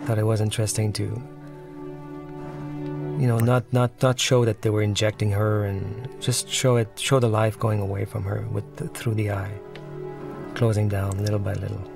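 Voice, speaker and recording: Low, gravelly man giving film commentary. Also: flat delivery, normal speed. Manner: reflective, searching for words. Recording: music behind the voice.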